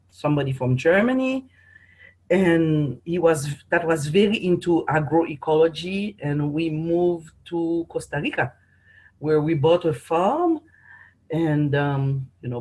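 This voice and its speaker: A middle-aged woman from Haiti, a podcast guest, telling stories in an animated way with frequent restarts. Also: deep voice. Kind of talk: conversational storytelling interview.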